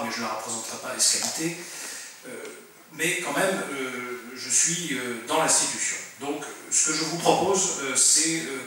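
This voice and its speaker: A man's voice: mid-range voice, background noise. A middle-aged man, a military officer, giving a lecture, full of filler sounds and restarts. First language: French. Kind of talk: lecture presentation. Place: conference room. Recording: very echoey room, mic far off.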